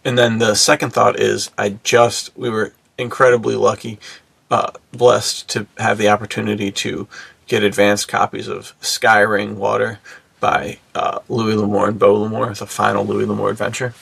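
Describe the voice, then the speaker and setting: Man with very creaky, gravelly voice. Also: on the low side, little pitch movement, normal speed, fairly bright tone, dry recording. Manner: laid-back bro slang, frequent "like" and "dude". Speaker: podcast co-host. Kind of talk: casual chat.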